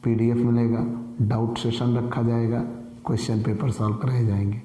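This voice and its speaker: A man with a sore, hoarse throat, teaching an online commerce lecture in an earnest manner and calling viewers dear friends. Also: mid-range voice, level tone, normal speed, mellow, reverberant, big-room sound, poor audio. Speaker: commerce tutor.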